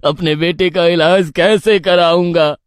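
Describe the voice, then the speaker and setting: A man's voice: sits high, fairly even intonation, measured pace, clean tone, no echo, studio quality. A man playing a poor, humble old village farmer in a cartoon story, pleading and lamenting in despair. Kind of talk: Hindi cartoon moral story dialogue.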